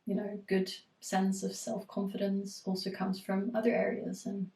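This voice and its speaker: A woman coach with a cold and a hoarse edge, speaking in an even, little-varied tone. She gives reflective, steady advice, pausing on fillers. Her voice rings in a roomy space, picked up from a distance. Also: mid-range voice. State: has a cold, voice going hoarse. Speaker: eating disorder recovery coach. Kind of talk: live group coaching Q&A.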